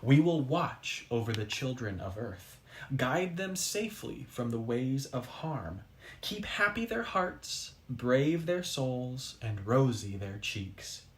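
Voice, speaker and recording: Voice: mid-range voice, very animated, slow pace. Earnest, kindly storybook moon guardian, as a reader plays him. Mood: solemn.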